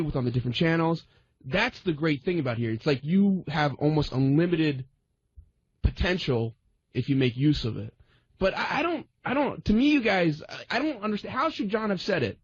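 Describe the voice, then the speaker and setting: Halting, husky man on talk radio. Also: a little high, a little mellow, no echo, dull audio. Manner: hesitant, halting and repetitive. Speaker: radio show panelist. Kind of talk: casual radio roundtable chat.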